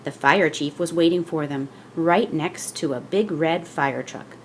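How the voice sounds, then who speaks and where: A woman reading a children's picture book aloud as scripted narration, with expressive storytelling delivery. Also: low-pitched, measured pace, a little hiss or hum.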